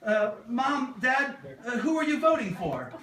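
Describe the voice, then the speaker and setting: Casting: male puppeteer as excitable teenage boy, bright and animated, comic puppet show, distant noisy recording. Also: extremely high pitch, measured pace, heavily acted. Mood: excitable, flustered. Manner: exclamatory, flustered. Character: excitable, apologetic teenage boy time traveler. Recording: distant mic, echoey room, noisy.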